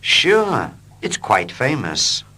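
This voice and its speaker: Slow, lively, bright-voiced male English teacher. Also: mid-range voice. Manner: slow, clear and expressive. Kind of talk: slow scripted reading for learners.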